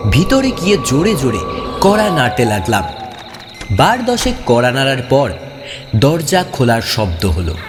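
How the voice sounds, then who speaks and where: Guy narrating a spooky story, dramatic n suspenseful, pitch moves a lot, big hall reverb, music behind. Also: moderate pace. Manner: suspenseful, expressive. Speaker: narrator of a horror audio story. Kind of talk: dramatic scripted first-person narration. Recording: long hall reverb, clear music bed.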